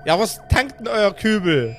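A man's voice: high voice, big pitch swings, a little slow, faint music behind, exaggerated voice. A man playing a grumpy, stubborn, abusive woman who rants and hurls insults in an improvised audio play. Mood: belligerent. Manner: ranting, insulting, belligerent.

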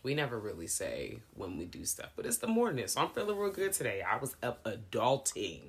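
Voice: medium pitch, wildly swooping pitch, brisk, husky. A podcast host chatting casually about horror films, laid-back and swearing freely.

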